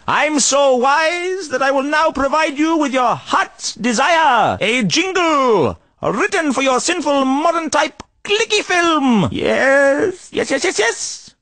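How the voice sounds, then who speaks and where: An actor playing a mad old desert hermit in a comic video game, ranting and rambling erratically. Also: male voice, very high voice, very animated, slow pace, few pauses, a bit bright, dry recording, full character voice. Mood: boastful, scatterbrained. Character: mad old desert hermit, addled, shouting at imaginary friend.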